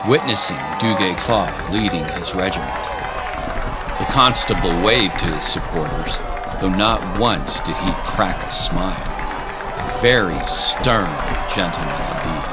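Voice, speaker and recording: A male storyteller with a lowish voice and lively, swooping intonation. He delivers scripted drama slowly over loud music. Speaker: narrator.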